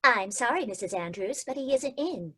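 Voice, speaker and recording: A polite, businesslike switchboard operator played by a woman, with a breathy, slightly husky voice. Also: on the high side, expressive, moderate pace, bright, forceful tone, exaggerated voice.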